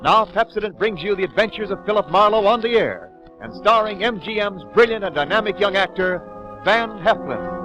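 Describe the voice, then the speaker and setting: A man's voice: high-pitched, lively intonation, a little fast, poor audio. A man announcing in a grand, promotional 1940s radio style, as a sponsor's announcer. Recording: old radio broadcast with music behind.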